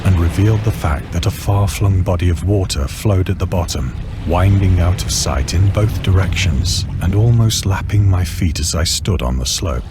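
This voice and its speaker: An actor playing a shaken ship's officer, narrating gothic horror in a video game in a solemn, measured, formal literary manner. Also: male voice, low-pitched, over music, natural voice. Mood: solemn dread.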